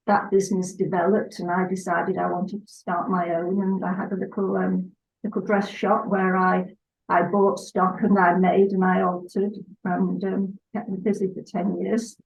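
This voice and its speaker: An older woman from Salford, England, chatting as a guest in an unhurried way with hesitant fillers. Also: fairly low pitch, flat delivery, creaky, darkish tone. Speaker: guest artist. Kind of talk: relaxed interview chat. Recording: dull lo-fi sound.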